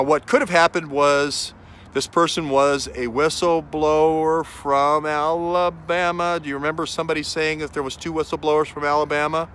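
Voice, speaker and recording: A man with a bright, forward voice records a vlog outside, with faint traffic and city noise behind him. He talks off the cuff in a halting but emphatic way, repeating key phrases to drive them home. His pitch sits in an ordinary middle range. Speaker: vlogger, self-styled investigator. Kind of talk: unscripted outdoor vlog monologue, speculative commentary. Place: outdoors on a city street. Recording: outdoor street noise with traffic. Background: faint urban ambience and vehicles.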